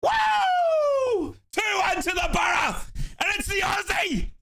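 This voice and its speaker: A man calls a football match live from his home office as a fan, swinging between shouted chants and running play-by-play. His voice sits extremely high for a man and comes across bright and forceful. He often breaks into yelling. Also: animated, slow pace, a faint crowd. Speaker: live watchalong host.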